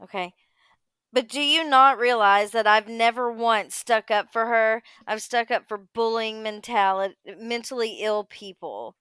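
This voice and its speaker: A woman's voice: a little high, a little slow, a bit bright, smooth, no room sound. A woman on a casual livestream panel, talking and reading posts aloud.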